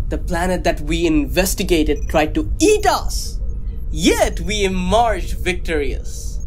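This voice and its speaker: Vain starship captain male actor, fairly high-pitched, self-glorifying and theatrically grand, comic space radio play, light music underneath. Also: sing-song, slow pace, full character voice. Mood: self-satisfied. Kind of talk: sci-fi comedy audio drama acting.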